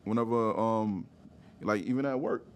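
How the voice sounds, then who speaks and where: A man's voice: on the low side, moderate pace, a little hiss or hum. A man in his thirties hosting a podcast in a casual, slangy way, often checking in with "you know" phrases. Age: 30s. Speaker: podcast host.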